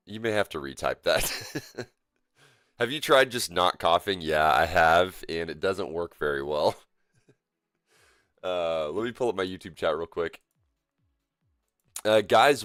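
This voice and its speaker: Sick, deep-voiced male streamer. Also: fairly bright tone, clean tone, no echo, studio quality. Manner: laid-back, rambling, lots of fillers and self-corrections. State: sick and coughy, voice deeper than usual. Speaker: live-stream gamer host. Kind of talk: casual live-stream chat with viewers. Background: faint game music.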